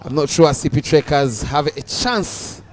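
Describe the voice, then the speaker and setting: A young Ugandan man giving live cricket commentary, calm and measured, lifting his voice on the big moments. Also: fairly high pitch.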